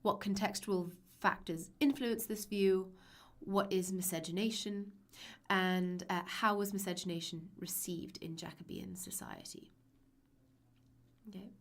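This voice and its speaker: Raspy woman teaching with a cold. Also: medium pitch, expressive, unhurried. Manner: chatty and reassuring, with frequent ums. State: hoarse from a cold. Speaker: literature teacher. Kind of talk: live-streamed online lesson.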